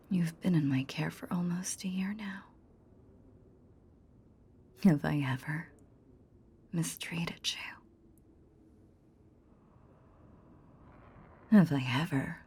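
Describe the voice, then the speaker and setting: A woman playing a possessive, hypnotic goddess in a whispered ASMR roleplay, coaxing a captive pet tenderly but with command, in breathy phrases with long pauses. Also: sits low, dark tone, full character voice. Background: ambient sound effects.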